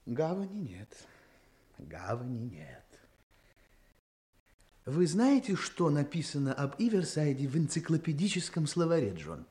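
An actor playing a bitter, dreamy village schoolteacher, musing and declaiming in 1960s radio drama style. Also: male voice, fairly high pitch, sing-song, unhurried, pauses often, mellow. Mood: sardonic, reciting. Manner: declamatory, musing, repeats phrases to himself.

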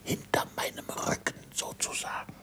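An actor playing a weary, bitter old scientist in a 1960s German radio play, speaking in formal, deliberate, sardonic phrases with a husky voice. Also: male voice, sharp tone, in a whisper, natural voice. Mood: bitter, wry. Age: older. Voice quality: gravelly, husky, breathy.

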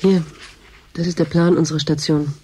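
An actress playing a weary but stern middle-aged doctor who leads a station in a German radio drama, with a husky, mellow voice. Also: female voice, low-pitched, fairly even intonation, moderate pace, a little hiss or hum, close to an everyday voice. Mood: weary, hopeful.

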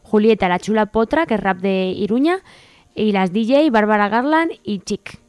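A woman's voice: mid-range voice, lively intonation, normal speed, darkish tone, smooth, no echo. A woman with an accent from Spain, co-hosting a community radio show and reading out event times and places. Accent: Spanish from Spain. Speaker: radio co-host.

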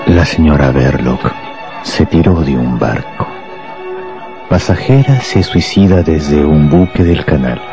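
An actor playing a contemptuous, power-hungry anarchist professor in a radio drama, with a grandiose, deliberate delivery. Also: male voice, very deep voice, lively intonation, full character voice. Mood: scornful musing. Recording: music behind the voice.

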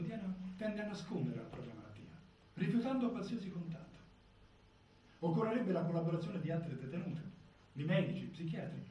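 Actor as formal male clinician, raised pitch, dull echoey recording. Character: formal male clinical expert reporting on prisoner. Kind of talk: documentary theatre, formal report. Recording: dull, very echoey hall, distant, faint noise.

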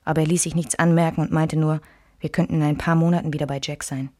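A woman playing a wistful memoirist who recalls her marriage in measured radio drama narration. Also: low voice, little pitch movement, darkish tone. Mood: subdued, wistful.

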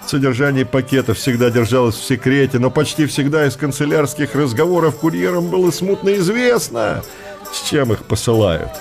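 A man narrating a literary radio drama with measured, expressive storytelling phrasing. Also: a little high, creaky.

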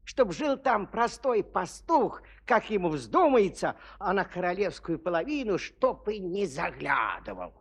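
An actor playing a folksy storyteller who confides in young listeners with rhetorical questions in a children's audio play. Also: male voice, high-pitched, very animated, measured pace, hardly any pauses, slightly rough, heavily acted. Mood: confiding, playful. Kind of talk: theatrical fairy-tale narration.

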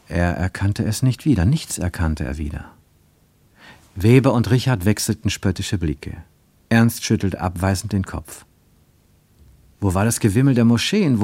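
A man reading a literary story as a scripted radio narration, with expressive, dramatic phrasing. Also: on the low side, no room sound.

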